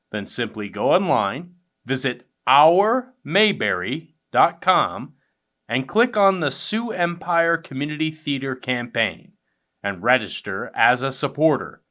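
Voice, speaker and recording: A man's voice: mid-range voice, fairly bright tone. A man reading a scripted closing announcement as the announcer.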